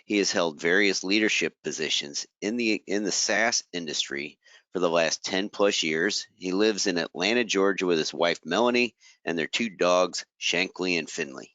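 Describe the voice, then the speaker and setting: A man moderating a webinar, reading the housekeeping notes and a speaker introduction smoothly from a script. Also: deep voice, normal speed, sharp tone, no room sound. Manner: reads smoothly from a prepared script. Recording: dry, slightly dull webinar audio.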